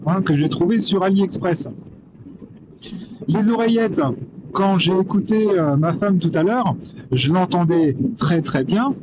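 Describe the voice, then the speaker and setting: A man giving a casual, hesitant commentary while riding a motorcycle, thinking aloud into a helmet intercom mic. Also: high voice. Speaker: motovlogger reviewing gear. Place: on a motorcycle on the road. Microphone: intercom boom mic inside helmet. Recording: muffled helmet intercom mic, loud wind noise. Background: wind and vehicle noise.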